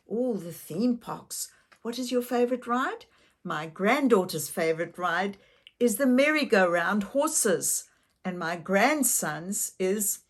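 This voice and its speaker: An older woman narrating a children's story expressively, gently and deliberately, with questions to young listeners. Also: mid-range voice. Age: older, grandmother.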